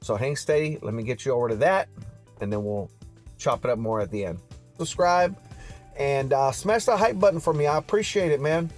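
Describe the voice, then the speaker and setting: A man's voice: medium pitch, fairly quick, faint music behind. A man hosting car news on YouTube in a casual, slangy vlog style, talking straight to his viewers.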